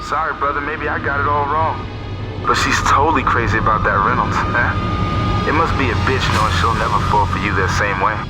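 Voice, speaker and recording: A man's voice: medium pitch, fairly quick, lightly acted. An actor playing a wheedling male eavesdropper and stranded hacker in a video game cutscene, chummy, crude and insinuating. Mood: teasing. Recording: music behind the voice.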